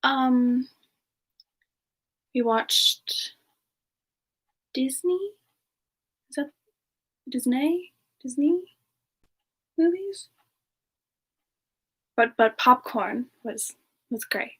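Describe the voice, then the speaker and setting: A woman playing a gentle, polite frost demon with no memory, speaking softly and hesitantly in an in-character ASMR-style Q&A. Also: high voice, animated, drawn-out words, long pauses, breathy edge, full character voice, rough recording. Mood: musing. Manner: hesitant, soft-spoken, slips into whispers. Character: gentle, polite amnesiac frost-powered demon woman, reborn from long ago. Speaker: streamer answering viewer questions in character. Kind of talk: semi-ASMR in-character Q&A, soft improvised chat.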